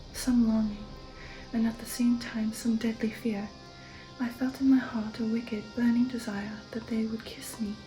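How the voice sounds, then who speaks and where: A woman reading a literary story in a hushed, breathy ASMR whisper, slow and deliberate. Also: on the high side, low-quality recording. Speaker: audiobook-style reader and ASMR host. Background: faint background music.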